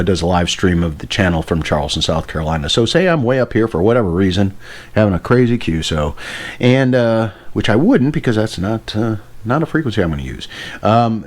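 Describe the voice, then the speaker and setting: An American man giving a casual hands-on gadget demonstration in an informal, enthusiastic, rambling way. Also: fairly low pitch. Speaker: radio hobbyist presenter.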